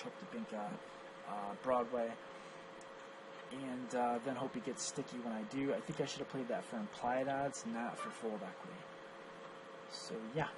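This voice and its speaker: A hoarse man who has lost his voice, analyzing poker hands in a self-critical, think-aloud way as a coach reviewing his own match. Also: medium pitch, background noise. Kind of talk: screen-recorded hand review commentary.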